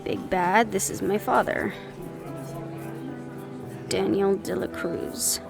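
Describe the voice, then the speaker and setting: A woman's voice: medium pitch, steady tone, slow pace, gravelly, music in the background. A woman acting in an audio roleplay as a sardonic, nervous young woman who mutters dry asides to her mate. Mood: tense, polite.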